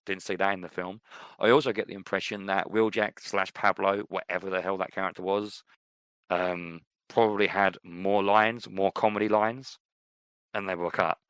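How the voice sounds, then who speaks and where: Low-voiced enthusiastic podcast man. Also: fairly even intonation, normal speed, fairly bright tone, clear, resonant tone, no room sound. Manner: enthusiastic, repeats himself for emphasis. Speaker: podcast co-host. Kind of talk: casual film discussion.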